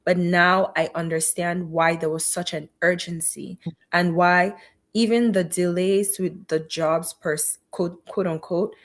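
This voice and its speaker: Middle-aged woman, physician guest, earnest and faith-filled storytelling, low-pitched. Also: steady tone, a little slow. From Cameroon. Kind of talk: personal story in an interview.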